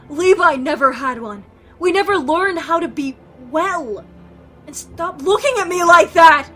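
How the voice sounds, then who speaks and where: An actress plays a guilt-ridden, bitter woman with a high, sharp-edged voice. She is blunt, defensive and quick to curse, in a dramatic audio drama with music underneath. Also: heavily acted. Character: bitter, guilt-ridden woman, foul-mouthed recovering addict.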